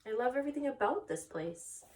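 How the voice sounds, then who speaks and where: A woman voicing an innocent, anxious young male pig for a storybook, soft, pleading and childlike. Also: a little high, expressive, fairly quick, pitched above the performer's own voice, natural voice, rough recording. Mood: contented.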